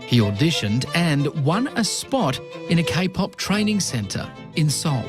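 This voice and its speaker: A man narrating a news feature in a measured, scripted way. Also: fairly high pitch, sing-song, over music. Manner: measured, formal delivery.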